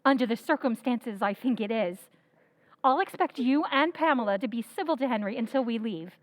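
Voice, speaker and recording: An actress playing Sally, a composed elder sister who speaks calmly and firmly in a radio drama. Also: female voice, a little high, a little fast, dry recording, lightly acted. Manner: calm, pleading then firm. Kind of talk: 1940s radio acting.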